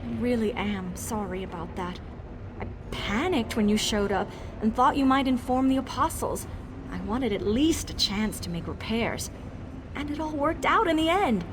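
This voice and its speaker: A woman's voice: fairly high pitch, lively intonation, normal speed, noisy background, natural voice. A woman voicing an eager, boastful young female mage tinkerer in a video game, dramatic and nervously evasive. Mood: apologetic, proud. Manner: dramatic, self-congratulating, hesitant when cornered. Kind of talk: video game character dialogue.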